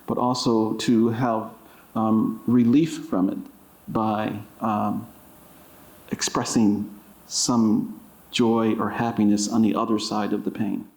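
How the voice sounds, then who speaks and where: A man giving deliberate, thoughtful interview answers as an author at an indoor public event. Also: on the low side, little pitch movement, creaky, darkish tone. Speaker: author and creative writing professor being interviewed. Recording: roomy, echoing library event sound.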